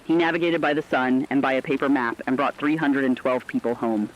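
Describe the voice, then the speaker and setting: A man's voice: medium pitch, steady tone, brisk, close to an everyday voice. A man playing a somber, humane radio correspondent, telling survivors' stories in a reflective, scripted report. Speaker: radio broadcaster. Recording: dull, lo-fi radio sound.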